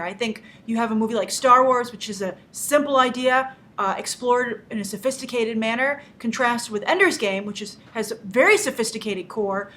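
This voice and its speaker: A woman's voice: on the high side, fairly bright tone. A woman hosting a movie review show, giving her opinions with emphatic stress on key words.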